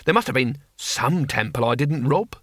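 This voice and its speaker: A man voicing a gruff, cheerful, very old male barbarian leader who talks blunt and plain. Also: mid-range voice, lively intonation, normal speed, fairly bright tone, dry recording, clean studio sound.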